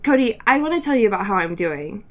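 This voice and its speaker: A woman's voice: medium pitch, sing-song, fast, a bit bright. A woman co-hosting a podcast in casual comedic banter, giggly and given to theatrical exaggeration. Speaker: podcast co-host.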